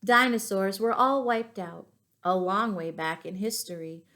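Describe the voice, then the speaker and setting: A woman reading stories aloud to young children, expressive, pausing to ask them questions. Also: mid-range voice. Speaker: children's storytime reader and teacher.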